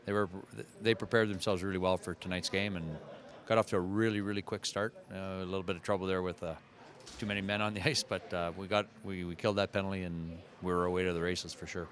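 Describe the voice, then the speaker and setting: A middle-aged man, a team coach, talking quickly with filler pauses in a post-game interview, into handheld mics at an indoor media backdrop. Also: deep voice, flat delivery, a bit bright. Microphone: handheld reporters' mics, close.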